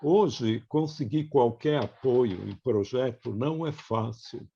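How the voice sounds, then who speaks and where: A man's voice: medium pitch, slow pace, vocal fry, dark tone, slight background noise. A man with a Brazilian accent putting questions in a casual group discussion, heard over dull, lo-fi audio. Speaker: participant asking questions.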